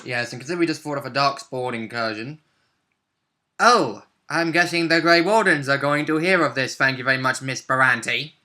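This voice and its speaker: Bright-voiced man chatting as a game player. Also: mid-range voice, moderate pace. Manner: interjecting with brief agreements and additions. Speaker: tabletop role-play player. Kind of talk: casual chat about a tabletop game.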